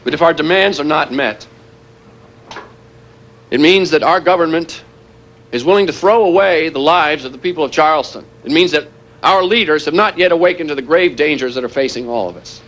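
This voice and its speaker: An actor playing a grave, earnest former weapons planner turned militant, delivering a deliberate televised statement in a realistic drama. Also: male voice, on the high side, fairly bright tone, close to an everyday voice. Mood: grave, threatening. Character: grave male former weapons planner turned anti-nuclear hostage-taker.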